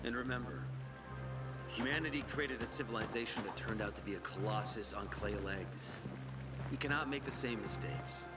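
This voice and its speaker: An actor playing a shrewd, pompous leader of a survivor community in a video game, speaking in a deliberate, preacherly way. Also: male voice, mid-range voice, close to an everyday voice. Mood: solemn preaching. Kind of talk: dramatic video game acting. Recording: background music under the voice.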